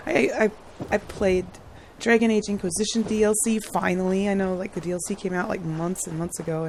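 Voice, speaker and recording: A woman with a hoarse, slightly worn voice talks casually over her gameplay, rambling from one thought to the next. She laughs easily and keeps clearing her throat. A faint hum of room noise sits behind her. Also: mid-range voice. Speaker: gaming channel host.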